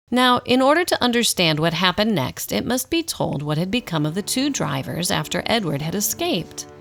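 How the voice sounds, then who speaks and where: A woman acting as the narrator of a radio drama, telling a scripted story in a slightly formal, expressive storybook style. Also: fairly low pitch, vocal fry. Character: storybook narrator, wry and unhurried.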